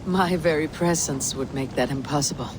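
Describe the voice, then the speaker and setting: A man plays a steady Viking leader, calm and earnest, his voice pitched a little high. Heavy rain noise sits behind him in this game cutscene. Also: moderate pace, close to an everyday voice.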